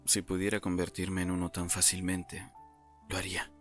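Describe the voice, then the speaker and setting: A tender young nobleman, played by a man, with a very low voice and soft-spoken concern. It is audiobook drama, with music behind the lines. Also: completely flat delivery, normal speed. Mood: gentle.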